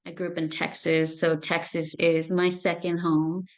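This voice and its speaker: A woman psychologist speaks to a gathered audience in an earnest, personal way. Her voice sits somewhat low, and her talk is full of little fillers and restarts as she shares her story. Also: flat delivery, moderate pace. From Ethiopia. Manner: earnest, warm-hearted, with frequent fillers and restarts. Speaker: clinical psychologist and professor.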